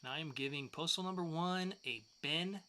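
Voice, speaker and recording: A man's voice: on the high side, normal speed, sharp tone, slight background noise. A man co-hosting a podcast in casual banter, putting questions and moving the show along. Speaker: podcast co-host reviewing comics.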